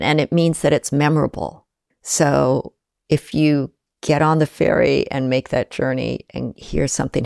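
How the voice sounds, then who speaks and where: A woman speaking as an interview guest, reflective and measured, talking with warm enthusiasm about shared projects. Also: deep voice, vocal fry, dry recording, studio quality.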